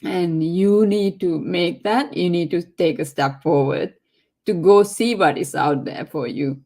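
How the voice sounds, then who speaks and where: A woman's voice: on the low side, a little slow, warm tone. A woman from Sri Lanka whose first language is not English, giving a heartfelt, emphatic motivational testimony in non-native English. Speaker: guest speaker.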